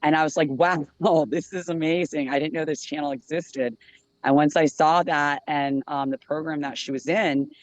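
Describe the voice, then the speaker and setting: A man's voice: on the high side, lo-fi sound. A man speaking as a guest panelist, telling a long personal account earnestly, with many fillers and restarts.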